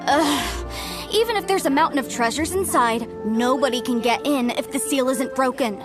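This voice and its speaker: A woman's voice: high-pitched, normal speed, close to an everyday voice. An actress playing an earnest young woman researcher explaining ruins in game dialogue. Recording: game audio with music underneath.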